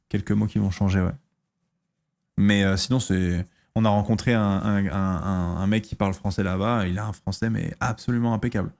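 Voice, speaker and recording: A man in his thirties from France, chatting casually on a stream, answering questions and pausing often with fillers and restarts. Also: fairly low pitch, a little mellow. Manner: hesitant, lots of fillers and restarts.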